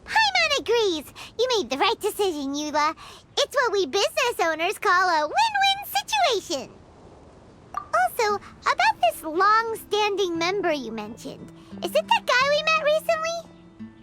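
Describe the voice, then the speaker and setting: A woman voicing a small, excitable, childlike female fairy companion in a video game, exclamatory and proud. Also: very high voice, measured pace, exaggerated voice. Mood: cheerful pride. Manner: speaks of herself in third person, exclamatory. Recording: loud background music.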